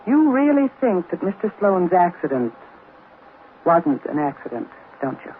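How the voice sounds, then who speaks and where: A woman's voice: fairly low pitch, sing-song, unhurried. An actress playing a cool, guarded, scheming woman in a 1940s radio drama, with clipped, evasive delivery. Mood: guarded, polite. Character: cool, guarded, scheming businesswoman and femme fatale. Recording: noisy narrow-band old radio recording.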